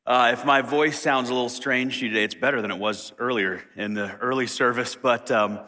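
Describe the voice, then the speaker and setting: Slightly raspy man preaching in a hall. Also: medium pitch, brisk. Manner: friendly, conversational, lively. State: slightly raspy throat after being sick. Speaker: pastor. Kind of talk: sermon. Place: church sanctuary. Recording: reverberant hall.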